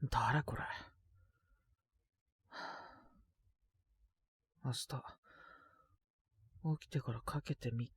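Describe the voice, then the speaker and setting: A man's voice: medium pitch, fairly even intonation, slow, breathy edge. An actor playing a sick young man with a cough, grumbling politely to his senior in an intimate Japanese voice drama. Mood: evasive, puzzled. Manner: casual junior speech, mumbled reluctant replies, sighing. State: ill with a cold, frequent coughing fits. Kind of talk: Japanese boys' love situation voice drama, intimate one-sided dialogue.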